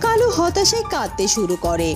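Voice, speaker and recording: A woman's voice: extremely high pitch, huge pitch swings, fairly quick, over music. A woman narrator reading dramatic scripted storybook narration for a cartoon.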